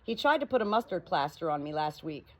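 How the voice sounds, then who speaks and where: A woman's voice: low voice, sing-song, brisk. Woman reading a blunt, grumbling storybook plow horse. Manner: plain-spoken, self-satisfied, complaining. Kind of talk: audiobook character dialogue. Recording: clearly noisy home recording.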